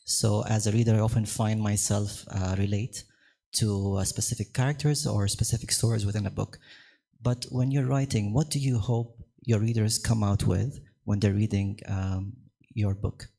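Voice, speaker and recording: Gravelly low-voiced man, polite. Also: steady tone, mellow. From Sudan. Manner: polite, grateful, halting. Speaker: audience member asking a question.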